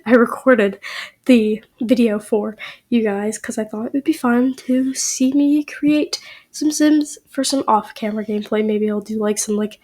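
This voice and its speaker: A woman's voice: on the high side, a little mellow. A young woman with a cold and a slightly nasal voice, chatting casually to her viewers with lots of "like"s and "um"s. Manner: chatty, rambling, many "like"s and "um"s. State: sick, a little nasal. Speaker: YouTube creator.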